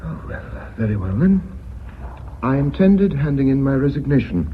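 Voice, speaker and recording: An actor in a radio drama playing a polite, reserved executive who speaks formally and guardedly. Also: male voice, medium pitch, sing-song, moderate pace, close to an everyday voice. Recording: dull, noisy radio recording.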